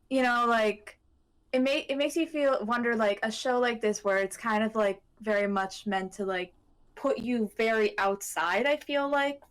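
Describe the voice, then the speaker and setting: A woman in casual podcast chat, co-hosting with a hesitant, meandering, giggly way of talking. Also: medium pitch. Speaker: podcast co-host.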